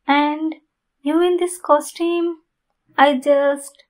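Woman acting a high-voiced, flustered demon girlfriend. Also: fairly even intonation. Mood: tender. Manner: halting, flustered, intimate delivery. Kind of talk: ASMR roleplay.

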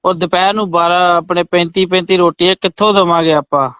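A man's voice: high-pitched, fairly even intonation, fast, fairly bright tone, clean tone, no room sound. A man with a Punjabi accent on a comic phone call, answering in protest and repeating himself. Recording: dull, lo-fi phone call recording.

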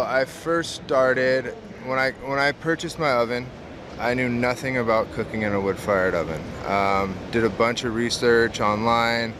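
Lowish-voiced man in a noisy expo hall. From San Diego, California. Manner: relaxed, frequent "um" fillers, earnest. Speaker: mobile pizza business owner, interview guest. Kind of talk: casual interview answers. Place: large indoor trade show hall. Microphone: camera close to face.

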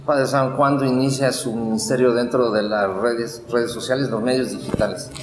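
A man's voice: medium pitch, steady tone, normal speed. A male journalist asking formal interview questions and addressing the guest by title. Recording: echoey room with long reverb, faint ambience.

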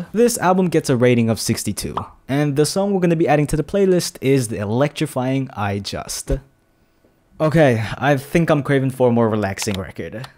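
A man's voice: medium pitch, darkish tone. A young man giving a scripted album review, opinionated, with casual slang asides, speaking close into a handheld microphone. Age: young adult. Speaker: music reviewer. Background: music playing under the voice.

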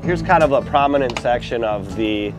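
A man in his forties from Lower Michigan, talking in a relaxed, plain way while guiding a tour on a small boat outdoors. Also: normal speed. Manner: relaxed, plain-spoken, with fillers. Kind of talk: casual interview, guiding a tour. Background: music.

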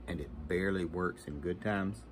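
Male vlog host, middle-aged. Deep voice, plainspoken, clipped casual delivery, noticeable background noise. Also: normal speed. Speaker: video host. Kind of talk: casual advice to camera. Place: indoors, unfinished workshop or basement. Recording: noisy room sound.

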